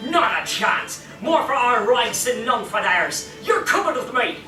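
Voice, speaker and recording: A woman acts as a hostile pony captor in a radio play, barking orders in a sharp, high voice. It comes from far off in a roomy echo, faint music behind. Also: big pitch swings, unhurried, full character voice. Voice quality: forceful. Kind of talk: audio drama acting. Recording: echoey distant playback, faint music.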